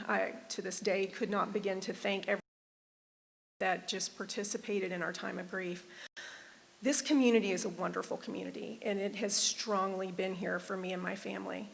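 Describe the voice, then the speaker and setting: A woman's voice: medium pitch, vocal fry, fairly bright tone. A woman in her forties, a judicial candidate, speaking sincerely and from the heart at a public forum. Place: performing arts center stage. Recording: reverberant auditorium.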